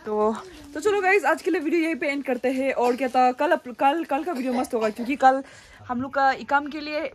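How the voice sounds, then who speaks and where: A young woman from Arunachal Pradesh, chatting excitedly to her phone camera while vlogging around a hill village. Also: high-pitched, a little fast. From Arunachal Pradesh, India. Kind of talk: casual vlog narration.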